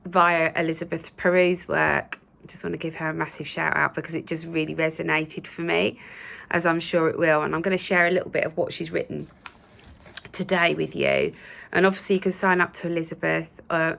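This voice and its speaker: Woman host, croaky and gravelly after a cold, lowish voice, gentle reassuring chat to viewers. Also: level tone, normal speed. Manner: gentle, reassuring, with many fillers. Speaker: spiritual channel host. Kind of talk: casual spoken talk to viewers.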